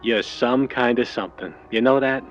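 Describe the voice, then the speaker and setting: A man's voice: mid-range voice, normal speed, faint music behind, lightly acted. Man acting wry noir sidekick, dull muffled sound. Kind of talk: vintage noir audio drama acting.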